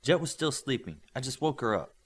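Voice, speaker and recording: A man voicing a sardonic, quick-witted young female superhero in an audiobook, wry and matter-of-fact. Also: medium pitch, sing-song, breathy edge.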